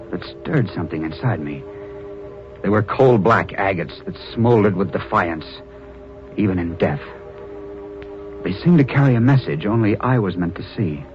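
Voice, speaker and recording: A hard-boiled young police reporter. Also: male voice, low-pitched. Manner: tough, wisecracking first-person narration. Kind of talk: 1940s radio drama narration and acting. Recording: dull lo-fi old radio recording.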